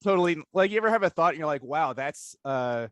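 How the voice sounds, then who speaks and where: A man hosting a casual discussion interview, talking informally and haltingly as he thinks out loud in rambling questions. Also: a little high, animated, no echo. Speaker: interview host.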